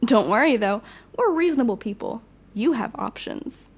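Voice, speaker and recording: Woman doing a higher voiced villain lady, polite but sly, composed, audio drama. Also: lively intonation, normal speed, breathy edge. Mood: slyly threatening. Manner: mock-polite, composed, wry. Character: smooth-talking, slyly polite young female supervillain.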